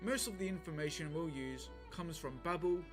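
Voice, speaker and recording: A man giving scripted narration for a lore explainer video, measured and explanatory. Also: fairly high pitch. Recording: video narration over music.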